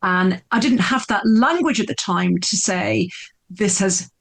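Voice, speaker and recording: A woman's voice: mid-range voice, measured pace, vocal fry. A middle-aged woman, a podcast guest telling her story in an earnest, emphatic way, often repeating words for effect. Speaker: podcast guest, speaker and coach. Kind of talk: candid storytelling interview.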